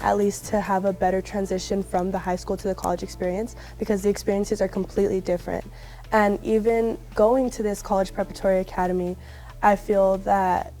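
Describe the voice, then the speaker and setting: A woman's voice: mid-range voice, warm tone. A young woman from West Texas, a university student, answering interview questions earnestly and reflectively. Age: young adult. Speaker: alumna interviewee.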